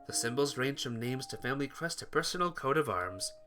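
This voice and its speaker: A man's voice: medium pitch, animated, fairly quick, sharp tone, soft background music. A man voicing a shy, sweet young girl from abroad, reading a visual novel aloud in a bashful, earnest manner.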